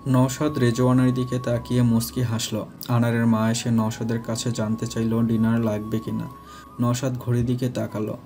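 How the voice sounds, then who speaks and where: A man's voice: medium pitch, level tone, moderate pace, dark tone. A man narrating a scripted romantic family story as the narrator. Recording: faint music behind.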